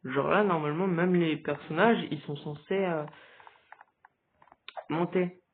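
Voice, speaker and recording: Stuffy-nosed man, slow gaming chatter. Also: a little high, a little hiss or hum. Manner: hesitant, many fillers, sudden excited outbursts. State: stuffy with a cold. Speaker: gaming video creator. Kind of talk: casual gameplay commentary. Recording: tinny phone-like recording.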